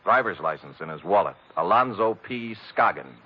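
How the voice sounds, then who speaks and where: An actor playing a sardonic, wisecracking American private detective in a 1940s style radio drama. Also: male voice, deep voice, animated, moderate pace. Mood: wry. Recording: dull old radio recording.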